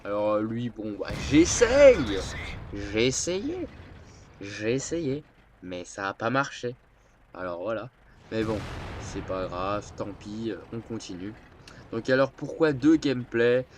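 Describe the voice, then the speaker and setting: A man's voice: lowish voice, big pitch swings, takes it slowly, a little hiss or hum. A man with a cold and a stuffy nose, rambling and hesitant as he casually talks over his gameplay. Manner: rambling, hesitant, many filler sounds. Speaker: gameplay commentator.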